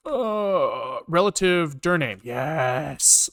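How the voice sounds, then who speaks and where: A man's voice: fairly high pitch, animated, drawn-out words, a bit bright. A man live-streaming a programming session, talking casually to himself with informal, sweary muttering as he works things out. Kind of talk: casual thinking aloud while coding.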